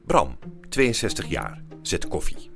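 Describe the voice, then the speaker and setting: A man acting as a clipped, deadpan announcer introducing a radio comedy in scripted narration. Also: deep voice, slow, vocal fry, exaggerated voice. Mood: deadpan, announcing. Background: music.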